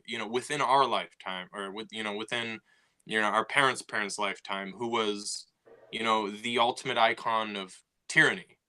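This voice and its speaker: Chatty podcast co-host, mid-pitched bright male voice, casual delivery with frequent restarts. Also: fairly even intonation, normal speed. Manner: talkative, lots of "you know" and restarts. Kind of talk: casual chat.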